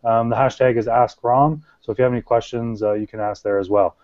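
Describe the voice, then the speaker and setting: A man hosts a live video hangout from an office, pausing often with fillers and restarting words. His voice sits in a middle pitch, and the sound is dull and lo-fi, like an online call. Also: steady tone, a little mellow, no echo. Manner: hesitant, many fillers and restarts. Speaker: museum social media coordinator, host. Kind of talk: casual live video chat hosting. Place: office at a museum.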